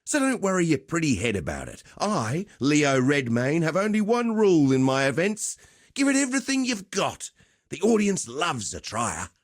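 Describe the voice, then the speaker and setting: A man's voice: a little high, huge pitch swings, normal speed, dry recording, studio quality. A man playing a swaggering, cheerful arena fight promoter in a video game, with theatrical, chummy, slangy patter. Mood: charming showman. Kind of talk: video game character voice lines.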